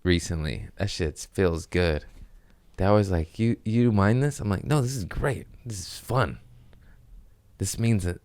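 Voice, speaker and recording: A man hosting a podcast, rambling through loose, profane jokes in casual chat with a deep voice. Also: unhurried, a little mellow, no room sound. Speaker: podcast host, comedian.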